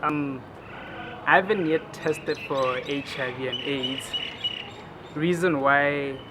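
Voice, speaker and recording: A young man gives his view in an easygoing, hesitant way, often trailing off. His voice sits a little high. Birds and passing traffic are clearly heard behind him outdoors. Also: slow pace. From Botswana. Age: 20s. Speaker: interviewee. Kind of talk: casual personal opinion.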